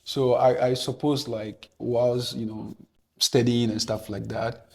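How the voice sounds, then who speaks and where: A man hosts an interview in a husky, fairly flat voice. He asks gentle questions, then listens while saying "right, right" over and over. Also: medium pitch, takes it slowly. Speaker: host.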